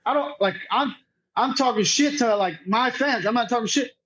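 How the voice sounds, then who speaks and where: A man's voice: very high-pitched, moderate pace, a bit bright, low-quality recording. A man chatting casually as a podcast guest, telling stories in a slangy, sweary, unpolished way. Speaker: podcast guest, cornhole player. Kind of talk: casual chat, storytelling.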